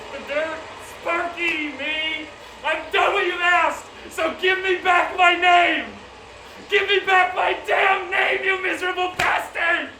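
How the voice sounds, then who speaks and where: An actor playing an aggrieved, desperate man in a dramatic monologue, theatrical, emphatic and bitter in delivery. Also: very high-pitched. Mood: livid. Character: aggrieved, nameless man desperate to regain his name. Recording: echoey room, distant mic, clear noise.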